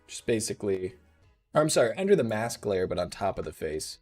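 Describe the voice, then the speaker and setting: Relaxed man demoing software live. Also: lowish voice, brisk, breathy edge, soft background music. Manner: relaxed, thinking aloud with fillers. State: slightly rough voice. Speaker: host.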